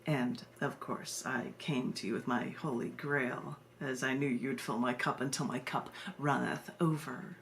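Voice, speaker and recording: A woman's voice: extremely low pitch, steady tone, a little slow, hardly any pauses. An American woman hosting a live online poetry reading, sharing poems and casual asides with her viewers. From United States. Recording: noisy live video stream.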